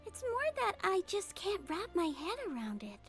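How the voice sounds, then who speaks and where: A tiny, girlish game nurse speaks in an extremely high voice. She is gentle, earnest and polite, choosing her words in a measured way over background music. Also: huge pitch swings, exaggerated voice. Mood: weary, thoughtful. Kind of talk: game fan dub dialogue. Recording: music behind voice.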